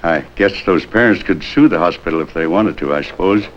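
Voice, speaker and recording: A man's voice: deep voice, fairly quick, close to an everyday voice. A man playing a wheedling, money-minded hotel desk clerk in a 1950s American police drama, hedging and ingratiating as he talks. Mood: sly, probing. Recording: dull, noisy 1950s film soundtrack.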